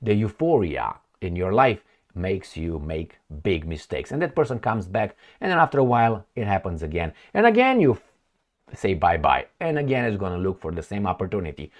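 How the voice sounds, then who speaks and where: A man's voice: deep voice, sing-song, a little fast. A man giving casual, sarcastic commentary on news he reads aloud, breaking into asides and mock dialogue.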